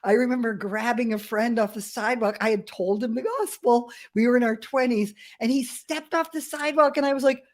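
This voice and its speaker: A middle-aged woman from Ethiopia, telling personal stories as an interview guest in a candid, animated way with self-deprecating humour. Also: fairly high pitch, fairly quick, few pauses. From grew up in Ethiopia as a missionary kid. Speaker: podcast guest. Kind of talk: conversational personal storytelling.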